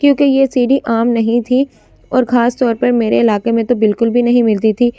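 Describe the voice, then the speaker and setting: A woman's voice: a little high, little pitch movement, a little fast, warm tone, dry recording, dull audio. A woman narrating a scripted first-person story, occasionally stumbling as she reads.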